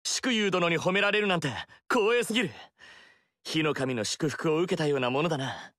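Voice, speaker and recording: A man's voice: a little high, normal speed, a bit bright, no room sound, close to an everyday voice. An actor voicing an earnest young male warrior, formal and eager to learn. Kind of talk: studio game dialogue. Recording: dry studio recording.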